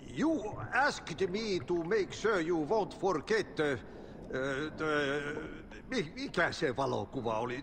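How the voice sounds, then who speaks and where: An actor playing an eccentric, chatty Finnish man in a game cutscene, with a Finnish accent and halting, cryptic talk that slips into Finnish. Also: sits high, in a large hall, background noise, full character voice. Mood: cryptic, helpful. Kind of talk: video game cutscene acting.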